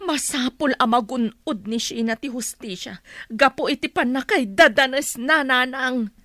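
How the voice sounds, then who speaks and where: A woman's voice: high voice, a little slow, fairly bright tone, no echo, clean studio sound. A woman playing a worried, soothing mother in a radio drama, earnest and pleading, with an Ilocano accent. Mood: aggrieved, earnest. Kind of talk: Filipino radio drama acting.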